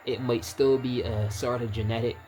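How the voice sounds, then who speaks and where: A man's voice: medium pitch, fairly even intonation, normal speed, dark tone. A man from Barbados with a Barbadian accent, chatting loosely while he plays a video game, often trailing off and restarting his words. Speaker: gaming YouTuber. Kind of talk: casual gameplay commentary.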